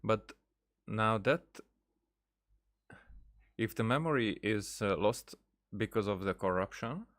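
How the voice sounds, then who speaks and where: A man giving casual gameplay commentary as a streamer, hesitant and thinking aloud in a halting way. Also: lowish voice, expressive, a bit bright. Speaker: game streamer.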